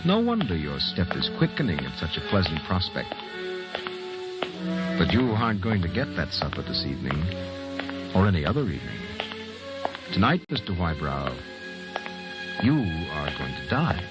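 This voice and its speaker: A menacing male narrator from a vintage radio thriller, low in pitch and swooping dramatically. He is theatrical and insistent, taunting his victim directly. Prominent music plays behind him. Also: huge pitch swings, normal speed, pauses often, low-quality recording. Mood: ominous. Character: menacing, taunting male narrator stalking a doomed man with words.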